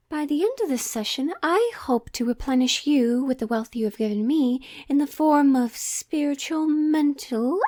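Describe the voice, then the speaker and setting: A woman playing a coy, theatrical witch who reads tarot, teasing and mysterious, coaxing the listener with dramatic pauses in an audio roleplay. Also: high-pitched, a little mellow. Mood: coy, inviting. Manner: slow, coaxing, endearments, dramatic pauses, breaks into near-whispers. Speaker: tarot reader.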